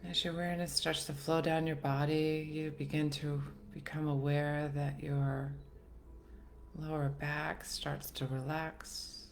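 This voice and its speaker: A woman leading a guided meditation in a soothing, gentle, unhurried manner with long pauses. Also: deep voice, level tone, vocal fry, a little hiss or hum. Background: soft background music.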